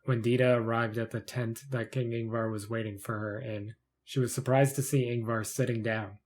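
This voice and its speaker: A man's voice: mid-range voice, level tone, moderate pace, a little mellow. A man reading scripted narration for a fantasy short story in the third person.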